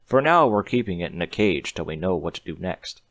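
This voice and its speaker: A man's voice: sits low, big pitch swings, fairly quick, fairly bright tone, no room sound. Man as a stern, dutiful pony guard. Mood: dutiful, reporting. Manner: formal, commanding.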